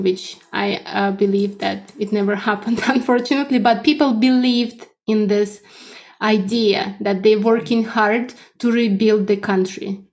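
A woman's voice: medium pitch, darkish tone. A woman in her thirties with a Russian accent, answering conversationally as a podcast guest and often checking in as she explains. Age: 30s.

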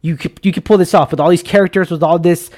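A man in his twenties with a fairly high voice hosts a loose panel chat. He rambles excitably, circles back over the same phrases and leans on buddy slang. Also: fast talker. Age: 20s. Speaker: show host. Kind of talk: casual panel chat on film news.

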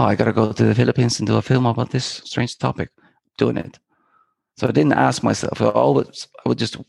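A man's voice: fairly low pitch, creaky, breathy edge, dry recording. A middle-aged man from Iceland, a filmmaker guest talking in a reflective, meandering, poetic way with many fillers and restarts. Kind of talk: reflective interview talk.

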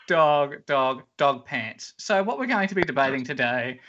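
A man's voice: mid-range voice, expressive, unhurried, very noisy. A man with a nasal voice hosting a comedy podcast, playfully announcing rounds. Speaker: host. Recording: lo-fi podcast audio.

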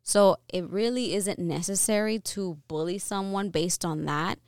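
A woman's voice: medium pitch, steady tone, a little slow, darkish tone, smooth, dry recording, studio quality. A young adult woman from New York, co-hosting a podcast and talking through her opinions in an earnest, casual way, with many fillers and restarts. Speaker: podcast co-host.

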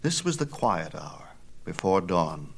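A man reading a scripted mythology story aloud in a dramatic, measured manner. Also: low voice, big pitch swings, vocal fry, breathy edge.

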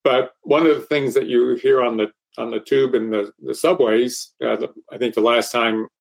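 Man, Texas accent, podcast co-host, casual aviation chat, halting speech full of fillers. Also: medium pitch, steady tone, vocal fry. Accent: Texan. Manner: halting, many fillers and restarts.

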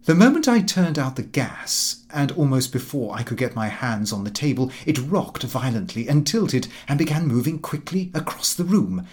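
A man's voice: medium pitch, sing-song, moderate pace, few pauses, darkish tone, close to an everyday voice. A man voicing a pompous, fussy middle-aged Victorian clerk in comic audiobook narration, prim and precise in his delivery. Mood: prim, suspicious. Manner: prim, self-important, precise.

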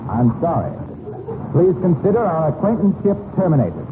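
A man's voice: fairly high pitch, sing-song, normal speed. An actor playing a stuffy, formal businessman, mock-solemn and melodramatic in 1940s radio comedy style. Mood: mock indignation. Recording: noisy tinny 1940s radio recording.

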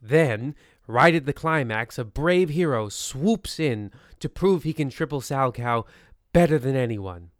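Male host-narrator, middle pitch, clean resonant tone, theatrical mock radio style. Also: animated, unhurried, dry recording, clean studio sound.

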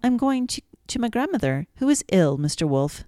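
A woman's voice: fairly high pitch, big pitch swings, moderate pace, mellow, no room sound, studio quality, pitched above the performer's own voice. Woman voicing a polite, innocent storybook little girl. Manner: polite, trusting.

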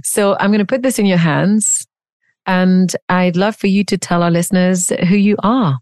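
A woman's voice: fairly low pitch, fairly even intonation, moderate pace, a little mellow, dry recording, clean studio sound. A woman hosting a podcast, reading a scripted intro and then chatting with a guest in a curious, chatty way, laughing at herself now and then. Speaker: podcast host. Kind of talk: scripted intro then casual interview chat.

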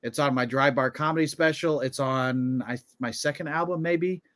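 A man's voice: medium pitch, normal speed, a bit bright, dry recording, clean studio sound. A man in his thirties, a comedian and podcast co-host, telling things in a casual conversational way. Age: 30s.

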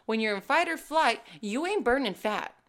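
A woman in her thirties, a fitness coach hosting her own podcast, talking to listeners in a casual, emphatic and animated way. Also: on the high side, moderate pace. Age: 30s. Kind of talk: casual solo podcast talk.

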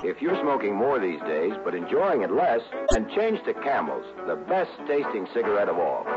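A man's voice: fairly low pitch, huge pitch swings, moderate pace. A man with an American accent announcing a radio programme and its commercial in a vintage promotional style. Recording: muffled old radio recording, loud brass music.

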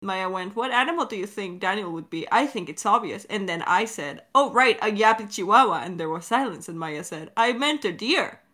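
A woman's voice: mid-range voice, expressive, brisk, few pauses, sharp tone. A woman co-hosting a creator Q&A, chatting casually in a giggly way and swearing freely. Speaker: podcast creator and co-host.

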